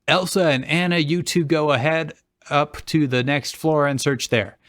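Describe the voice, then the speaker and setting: A man's voice: fairly high pitch, steady tone, normal speed, a bit bright, no room sound, natural voice. A man plays a practical young adventurer directing companions in a storybook fan fiction. He is sensible and plain, recorded close and dry. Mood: brisk, practical. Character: practical young man organising a search party.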